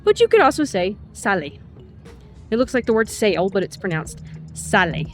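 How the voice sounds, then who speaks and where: A middle-aged woman, a Spanish teacher, explaining phrases in a casual vlog lesson while walking outdoors, slowing down to model each phrase. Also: medium pitch, big pitch swings, soft background music.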